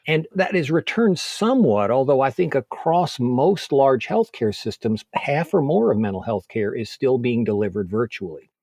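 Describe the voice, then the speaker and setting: A man speaking as a physician in an interview, explaining things methodically in measured, orderly points. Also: medium pitch, lively intonation, darkish tone, no room sound, studio quality. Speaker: physician, expert interview guest.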